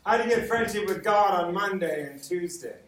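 American man preaching, high-pitched, in echoey room. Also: lively intonation, brisk, fairly bright tone. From Los Angeles, United States. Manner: informal, often chuckling, repeats words for emphasis. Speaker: guest preacher. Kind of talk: sermon with personal stories. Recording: roomy echo, distant from the mic.

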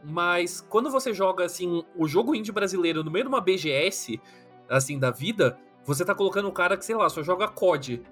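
A man with a notably high voice talks casually on a podcast. He tells stories in an animated, slangy way, stacking up fillers. Soft music sits faintly in the background. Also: fairly quick. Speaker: podcast guest. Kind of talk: casual chat.

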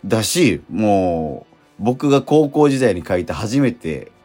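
A middle-aged man talks casually as a radio host, his voice deep and rough-edged. His pitch swings up and down in a lively way as he thinks aloud in halting, reflective phrases. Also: faint music behind.

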